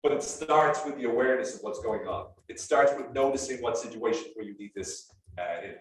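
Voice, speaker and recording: A man with an Irish accent giving a sales training talk to a live group. He explains things step by step with energy, and his voice drops softly at the ends of phrases. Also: mid-range voice, brisk, distant mic. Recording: roomy echo, speaker off-mic.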